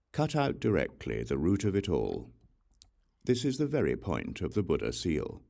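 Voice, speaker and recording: A man reading spiritual verse as an audiobook, in a measured, deliberate manner with frequent pauses between short phrases. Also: low voice, gravelly, darkish tone. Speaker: audiobook reader.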